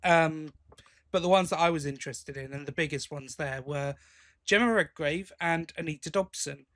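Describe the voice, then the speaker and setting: A man's voice: fairly high pitch, moderate pace, a bit bright. A British man hosting a podcast in casual chat, rambling along and often interrupting himself. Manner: chatty, rambling, self-interrupting. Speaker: podcast host.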